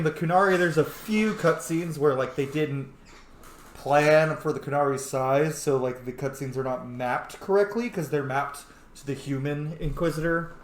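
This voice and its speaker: A man's voice: on the high side, slight background noise. A man giving casual roleplay commentary over a video game, chatty, rambling and informal. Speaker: gaming host. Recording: roomy, echoing voice recording.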